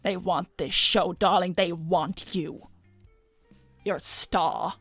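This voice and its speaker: A woman's voice: lowish voice, steady tone, slow, a bit bright, dry recording, faint music behind. A woman voicing a glamorous, scheming hedgehog businesswoman in an improvised cartoon chat, suave, commanding and theatrically persuasive. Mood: coaxing, flattering. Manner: suave, theatrical, commanding, calls others darling. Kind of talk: improvised in-character livestream chat.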